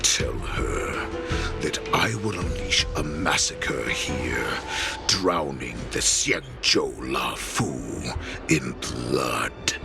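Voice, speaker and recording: Very deep, gravelly wolf warlord. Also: male voice, animated, heavily acted. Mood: gloating, bloodthirsty. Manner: slow, menacing, grandiose speechifying. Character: ruthless, proud male wolf-beast warlord, menacing apex predator. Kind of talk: video game villain acting. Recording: loud music under the voice.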